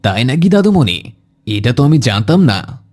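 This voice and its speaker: A man playing a kindly, pious elderly grandfather who gently admonishes in a measured, instructive way in an Islamic cartoon. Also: mid-range voice, sing-song. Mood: affectionate, proud. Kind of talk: Islamic moral cartoon dialogue.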